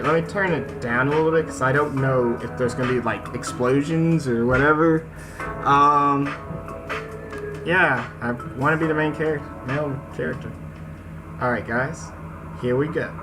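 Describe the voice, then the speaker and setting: A man's voice: mid-range voice, poor audio. A man hosting a gaming video, moving between casual slangy commentary and reading a story aloud. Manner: slangy, informal asides. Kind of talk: casual let's play with read-aloud story narration. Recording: game music behind the voice. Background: video game music.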